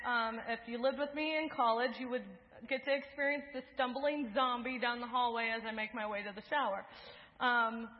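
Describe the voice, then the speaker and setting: A young woman preaching a sermon in an earnest, measured way, moving through lists in a steady rhythm, then leading the congregation in prayer. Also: high-pitched. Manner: earnest, measured, with list-like cadences. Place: church sanctuary. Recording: dull sound, echoing in a large hall.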